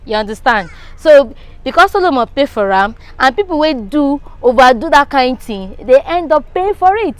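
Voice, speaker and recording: A woman's voice: high-pitched, animated, moderate pace, few pauses, dry recording. A Nigerian woman arguing her opinion casually and emphatically. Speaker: interview respondent. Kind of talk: casual debate, slipping into Pidgin.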